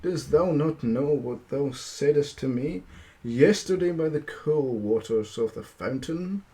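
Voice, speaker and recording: A man's voice: a little high, animated, slow, mellow. A man voicing a pleading, insistent talking frog from a storybook, calling out in archaic phrases.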